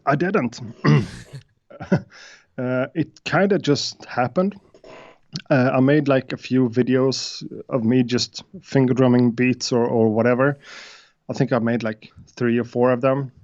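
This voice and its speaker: Brief: Swedish-accented man, non-native English speaker, halting guest talk, dull dry call audio. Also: medium pitch, fairly even intonation, darkish tone, dry recording. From Sweden. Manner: hesitant, many uhs and restarts. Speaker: guest beatmaker. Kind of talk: casual interview chat.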